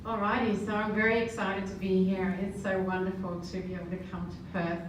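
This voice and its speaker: A woman's voice: medium pitch, flat delivery, a little fast. A middle-aged woman from the Netherlands gives a motivational business presentation to a live audience. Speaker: business speaker. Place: roomy live event venue. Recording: echoey, distant, noisy room recording.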